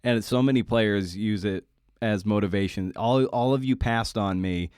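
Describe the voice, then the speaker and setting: A man hosting a podcast interview in a casual, conversational style, with a halting, candid way of talking. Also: lowish voice, darkish tone, clear, resonant tone, no room sound, studio quality.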